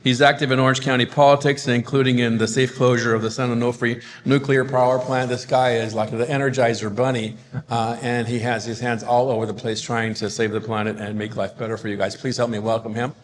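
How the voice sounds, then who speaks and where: A man's voice: medium pitch, level tone, fairly quick. A man hosting a conference, introducing the moderator in a casual, enthusiastic way with many fillers. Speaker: event organizer, host. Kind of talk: introducing a speaker. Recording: reverberant hall with faint room noise.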